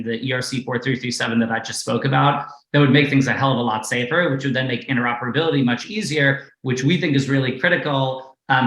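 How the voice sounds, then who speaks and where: A man's voice: medium pitch, echoey room, rough recording. A man being interviewed as a guest investor, talking casually with many fillers and tech jargon. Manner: filler-heavy, relaxed, jargon-laden.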